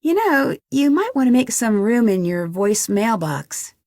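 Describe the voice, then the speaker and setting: Young american girl detective in a video game, kinda high voice, nosy and determined, super dry recording. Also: sing-song, unhurried, a little mellow, no echo. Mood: friendly, coaxing. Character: inquisitive, determined young female amateur detective. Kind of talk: scripted video game dialogue.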